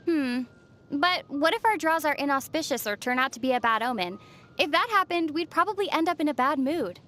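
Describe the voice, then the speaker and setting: An actress playing a calm, sensible, gently teasing young traveler heroine in a video game. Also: female voice, high-pitched, a little fast, soft background music. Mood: skeptical. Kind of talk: scripted video game dialogue.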